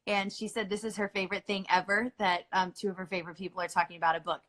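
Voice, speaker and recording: A woman's voice: medium pitch, flat delivery, quick pace, a bit bright. A middle-aged woman hosting a book club, talking in a chatty, playful way with lots of fillers. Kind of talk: casual chat.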